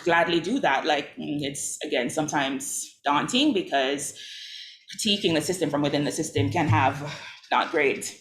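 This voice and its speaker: A woman's voice: low-pitched, lively intonation, normal speed. A woman from Jamaica, an artist speaking on a panel, talking casually with many fillers and frequent laughs. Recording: echoey room with faint noise.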